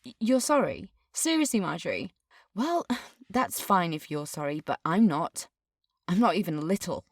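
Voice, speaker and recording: Defiant schoolgirl, wildly swinging pitch. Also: big pitch swings, normal speed, slightly rough, no echo, studio quality, full character voice. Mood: scornful. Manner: emphatic, indignant, outspoken. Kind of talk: scripted audio drama.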